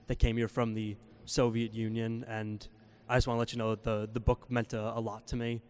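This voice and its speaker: A man's voice: fairly low pitch, completely flat delivery, fairly quick, darkish tone, clean tone. A young man from the audience asking a question at a convocation, hesitant and earnest. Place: large hall. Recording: long hall reverb.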